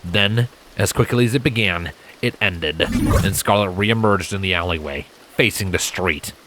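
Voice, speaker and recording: A man's voice: sits low, measured pace, background noise. A man narrating a fantasy story in a dramatic, scripted storytelling style. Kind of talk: dramatic fan fiction narration. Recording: rain sound effects behind voice. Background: rain ambience.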